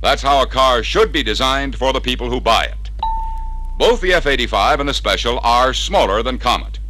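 A man's voice: mid-range voice, normal speed, sharp tone, soft background music, low-quality recording. An American man narrating a scripted 1960s sales training film in a punchy, persuasive salesman's manner, on a vintage record soundtrack. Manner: punchy, persuasive salesman delivery with rhetorical questions.

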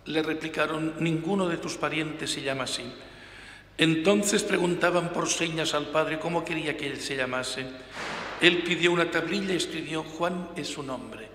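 A man's voice: on the high side, moderate pace. An older man in his sixties, a priest reading the Gospel at a lectern microphone and then preaching an emphatic homily. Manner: emphatic, gestures with hands, varies loudness for emphasis. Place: large church, at a lectern. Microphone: gooseneck lectern mic. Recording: reverberant church hall, faint room noise.

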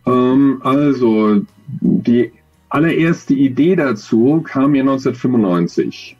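A middle-aged man with a mellow, rough-edged voice answers interview questions thoughtfully, feeling his way to the right words. He sits close to the webcam on a video call, and the sound is rather dull. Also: medium pitch, expressive, unhurried, faint music behind. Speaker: interview guest, audio drama author.

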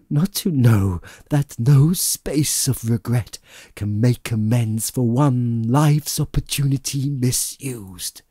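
A man voicing the anguished, remorseful ghost of a dead businessman in a slow, mournful lament for an audiobook. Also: mid-range voice, dark tone, husky, dry recording, studio quality, voice pushed up, exaggerated voice.

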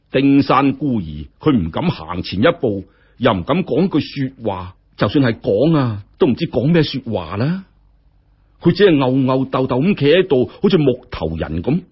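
A man's voice: medium pitch, slightly rough, lo-fi sound. A man with a Hong Kong Cantonese accent telling a scripted story in a dramatic way.

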